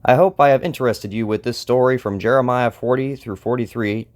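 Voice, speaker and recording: A man's voice: medium pitch, fairly even intonation, fairly quick. A man hosting and narrating a scripted story, with plucked guitar music behind him. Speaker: host and narrator.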